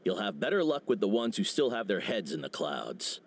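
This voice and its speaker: A man's voice: lowish voice, very animated, moderate pace, gravelly, a little hiss or hum. A man voicing a lazy former warrior who talks in a dismissive, easygoing way in a video game.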